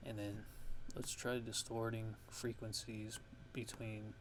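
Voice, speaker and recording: A man's voice: on the low side, little pitch movement, takes it slowly, noisy background. A man giving a casual ASMR-style music production tutorial, thinking aloud in a hesitant, rambling way.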